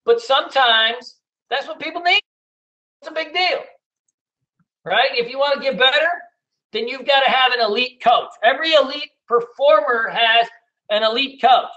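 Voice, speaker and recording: A middle-aged man teaching day trading in a casual, loud and blunt way, hooting and swearing as he talks over a screen share. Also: very high voice, moderate pace, pauses often, lo-fi sound. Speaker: trading educator.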